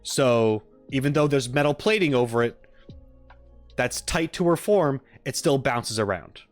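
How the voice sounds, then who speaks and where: A man's voice: medium pitch, expressive, measured pace, soft background music. A man chatting casually on a livestream as the streamer, in an informal, rambling way with joking asides. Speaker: live streamer reacting and chatting with viewers.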